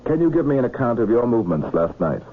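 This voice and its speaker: An actor playing a probing police inspector in a radio drama, terse and matter-of-fact, courteous but persistent. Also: male voice, mid-range voice, sing-song, quick pace, close to an everyday voice. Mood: formal, courteous. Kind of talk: 1970s radio drama acting. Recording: muffled lo-fi old radio broadcast, hiss.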